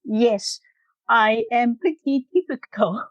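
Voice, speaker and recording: A woman's voice: on the high side, takes it slowly, no room sound, lo-fi sound. A middle-aged woman from Hong Kong with a Hong Kong accent, answering interview questions with many ums and short laughs. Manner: frequent ums and uhs, laughs between phrases. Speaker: guest engineer.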